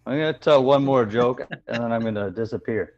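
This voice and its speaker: A man doing a stand-up set of deadpan one-liners over a video call, with hesitant fillers between jokes. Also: medium pitch, brisk, dull audio. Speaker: stand-up comedian.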